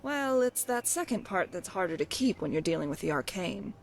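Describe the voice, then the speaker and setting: A woman's voice: lowish voice, very animated, fairly quick, faint music behind. A woman playing a glib, evasive thief companion in a fantasy video game, talking in a wry, coy way. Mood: wry storytelling. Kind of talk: scripted video game dialogue.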